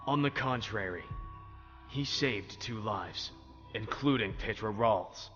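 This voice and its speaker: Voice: mid-range voice, slow pace, a bit bright, in a large hall, lo-fi sound, faint music behind. A performer playing a terse, unruffled military captain in an audio drama, with dry delivery. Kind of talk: fan audio drama acting.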